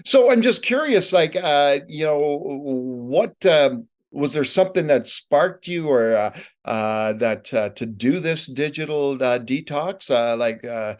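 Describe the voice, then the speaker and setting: An interview host with a mid-range voice poses casual questions. The delivery is halting and hesitant, broken up by lots of fillers. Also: mid-range voice, lively intonation.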